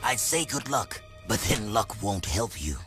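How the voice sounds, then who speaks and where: An actor playing a stern, imperious older desert ruler with a commanding, declamatory manner in a video game. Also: male voice, lowish voice, big pitch swings, measured pace, over music. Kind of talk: video game dramatic acting.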